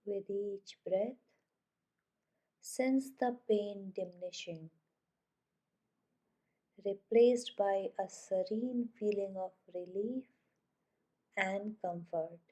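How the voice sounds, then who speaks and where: Woman doing guided yoga nidra, really slow and soft mellow voice, soothing. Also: mid-range voice, rough recording. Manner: soothing, gentle, measured instruction. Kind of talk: guided meditation, scripted narration.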